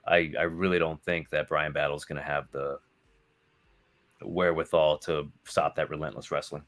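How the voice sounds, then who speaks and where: Deep-voiced, rambling man. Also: level tone, normal speed, a bit bright. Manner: rambling, filler-heavy, swears casually. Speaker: podcast guest. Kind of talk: casual chat.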